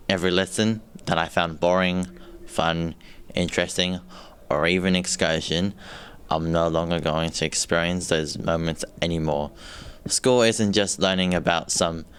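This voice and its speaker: A young man in his late teens, reading a prepared reflection in a sincere, steady way. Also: deep voice, clean tone. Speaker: student leaving high school.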